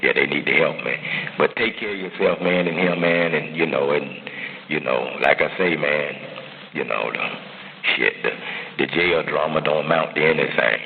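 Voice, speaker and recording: A man's voice: very deep voice, moderate pace, vocal fry. A middle-aged man talking casually on a phone call, giving avuncular advice and repeating himself for emphasis. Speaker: uncle advising his jailed nephew. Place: phone line. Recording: muffled, noisy recorded jail phone call.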